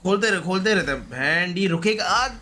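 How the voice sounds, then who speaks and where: A man's voice: sits high, animated, brisk, pushed and bright, slight background noise. A man giving casual, slangy live gaming commentary, often repeating short pleading phrases. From India. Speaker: gaming streamer.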